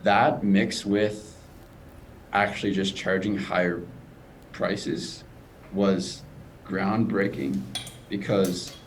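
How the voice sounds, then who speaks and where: A man's voice: low-pitched, fairly even intonation, extremely slow, pauses often, a little hiss or hum, low-quality recording. A young man who stutters, a coach talking casually and earnestly in a video call interview. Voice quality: stutters, repeats words.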